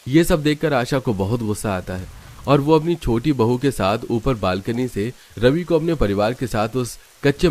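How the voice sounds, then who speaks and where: A man's voice: on the low side, darkish tone. A man reading scripted narration for a family-drama cartoon story.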